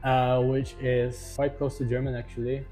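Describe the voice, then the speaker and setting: A man explaining things as a guest in a casual chat, relaxed and with dry humor. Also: medium pitch, normal speed, faint music behind.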